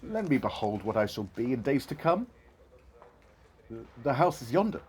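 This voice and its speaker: An actor playing an old Victorian miser in an audio drama, earnest and theatrical, with formal old-fashioned phrasing. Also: male voice, medium pitch, very animated, normal speed, darkish tone, close to an everyday voice. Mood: fearful, solemn. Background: music.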